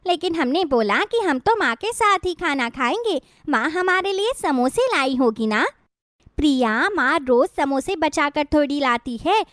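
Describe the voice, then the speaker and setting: A woman's voice: high-pitched, fairly bright tone, no room sound, clean studio sound. A woman voicing an eager, chatty little girl with a childlike, bubbly manner in a bedtime story. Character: eager, chatty little girls, daughters of a poor mother.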